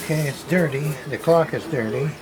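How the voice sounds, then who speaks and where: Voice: medium pitch, normal speed, a crowd in the background. A person giving casual, hands-on unboxing commentary as a repair video host, muttering to themselves in short, fragmented remarks. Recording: noisy room with clear background noise.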